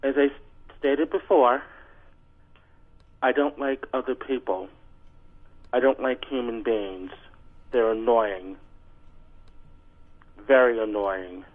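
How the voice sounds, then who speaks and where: Deadpan man on a tinny phone line. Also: medium pitch. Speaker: call-in guest on a radio show. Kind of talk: reading aloud and bantering. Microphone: telephone.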